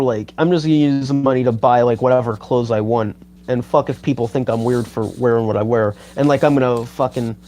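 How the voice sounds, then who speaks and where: A man of about twenty on a livestream, rambling and halting with lots of fillers and swearing as he reads his chat. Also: medium pitch, a little mellow. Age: 20s. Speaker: YouTuber reading live chat. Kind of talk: rambling casual livestream talk.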